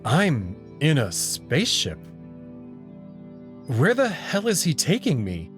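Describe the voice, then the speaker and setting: A man reading expressive first-person narration for a visual novel story. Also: fairly high pitch, takes it slowly. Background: background music.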